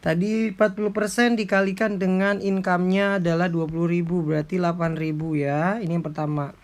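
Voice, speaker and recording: A man explains like an accounting lecturer in an informal tutorial, going step by step in a halting way. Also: high-pitched, level tone. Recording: clearly noisy background with clicks.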